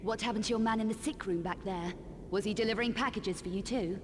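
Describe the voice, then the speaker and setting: A woman's voice: mid-range voice, quick pace, in a large hall, background noise, lightly acted. An actress voicing a determined young woman adventurer in a video game, cool, clipped and businesslike. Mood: pressing. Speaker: character in a video game cutscene.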